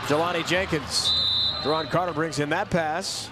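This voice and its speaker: Play-by-play man, American, somewhat high voice, excitable on big plays, loud outdoor stadium noise. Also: moderate pace. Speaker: play-by-play announcer. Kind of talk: live American football game commentary. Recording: very noisy outdoor stadium broadcast.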